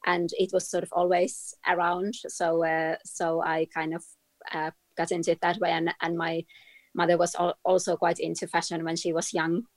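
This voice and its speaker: A woman from Finland, a trend forecaster talking as an interview guest, measured and full of hesitations. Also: lowish voice, flat delivery, no room sound. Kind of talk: casual interview.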